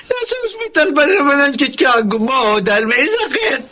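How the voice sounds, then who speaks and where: A woman's voice: high voice, very animated, normal speed, bright, forceful tone, full character voice. An actress with a Filipino accent playing a worried, exasperated old grandmother in a radio drama. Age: older.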